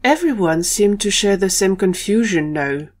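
A woman's voice: medium pitch, animated, normal speed. Woman narrating an audio drama.

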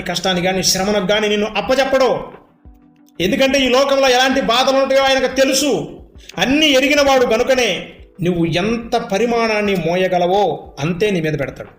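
Male preacher, high voice, emphatic repeated exhortations, echoey sound over music. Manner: emphatic, repetitive exhortation with rhetorical questions. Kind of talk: sermon and prayer.

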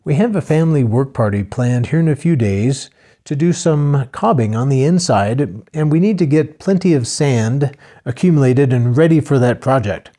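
An older man calmly narrating his own building project in a plain, matter-of-fact voice-over. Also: mid-range voice, moderate pace. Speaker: homesteader narrating a build video.

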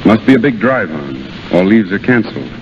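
A self-sacrificing young English gentleman, gallant and restrained. His voice sits fairly low with varied intonation, in 1930s radio style on a dull old broadcast. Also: sing-song, a little fast, faint music behind. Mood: grave.

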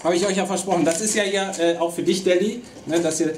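Excitable high-voiced man, roomy workshop. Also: fast talker, very noisy. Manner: excitable and teasing, with frequent laughter and slangy outbursts. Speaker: co-host. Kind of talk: casual chat. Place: small hobby workshop indoors.

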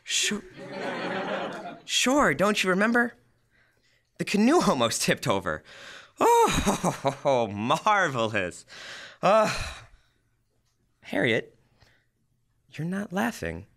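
Give the part to a teenage boy acting a bumbling, nostalgic radio sitcom husband. His bright voice leaps all over in pitch as he gushes and gets flustered. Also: wildly swooping pitch, drawn-out words, gravelly, pauses often, heavily acted. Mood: fondly nostalgic. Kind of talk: old-time radio comedy acting. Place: school library room, stage microphone.

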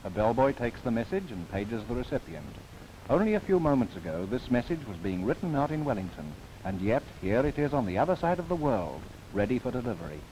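A man's voice: mid-range voice, very animated, fairly quick, warm tone, rough recording. Man, documentary narrator, formal declamatory delivery, old film soundtrack, faint hiss. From New Zealand. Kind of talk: scripted narration, 1930s newsreel. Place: studio voice-over.

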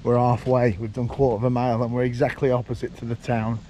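A young man giving a relaxed, chatty walking tour outdoors, pointing things out as he goes, recorded on a handheld camera. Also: medium pitch, fast talker, a little hiss or hum. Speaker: vlogger. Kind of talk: casual walking tour commentary. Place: outdoors walking around a lakeside town.

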